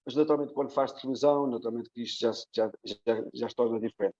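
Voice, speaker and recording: A man, a football coach answering interview questions in a halting, repetitive way, on a slightly lo-fi connection. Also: mid-range voice, fairly even intonation, mellow. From Portugal, long settled in South Africa.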